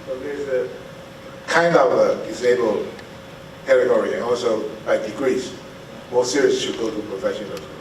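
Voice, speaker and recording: Heard from a distance in a very echoey hall, a man questions the speakers from the audience. His phrases are short and blunt, in a mid-pitched voice with faint noise around it. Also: moderate pace. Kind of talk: informal spoken questioning.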